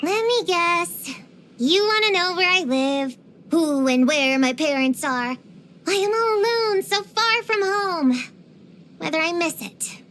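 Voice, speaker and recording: A woman's voice: very high voice, animated, takes it slowly, fairly bright tone, full character voice. A woman voicing a precocious, feisty little girl in a video game, earnest and theatrical with grown-up wording. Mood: solemn, confiding. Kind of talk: scripted video game character voice lines.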